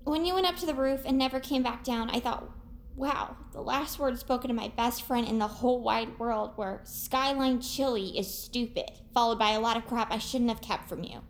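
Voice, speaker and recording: An actress playing an earnest, affectionate, excitable young woman in an audio drama. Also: on the high side, normal speed, a little hiss or hum, close to an everyday voice. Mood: heartfelt.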